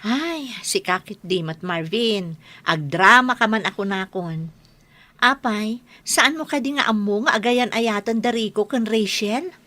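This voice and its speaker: Woman playing nosy ilocano friend in a radio comedy, sharp forceful voice, blunt and gossipy. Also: mid-range voice, animated, a little slow, no echo. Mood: blunt, insistent. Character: chatty, meddling, blunt female village friend. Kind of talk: Filipino radio comedy drama acting.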